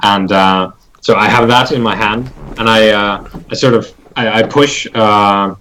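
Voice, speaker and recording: A man with a fairly low voice plays a tabletop game, talking very slowly. He halts often as he works out what his character does, keeping it casual. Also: fairly even intonation, bright, forceful tone, slight background noise, poor audio. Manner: hesitant, halting, thinking aloud. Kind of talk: casual in-character action description.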